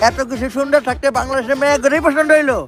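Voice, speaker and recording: Someone doing a high voiced crude betting hawker, cheeky and taunting, funny cartoon skit, music behind. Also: steady tone, racing. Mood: scornful. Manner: crude, taunting sales patter. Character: brash, foul-mouthed betting tout hawking bets. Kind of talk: comic dubbed skit dialogue.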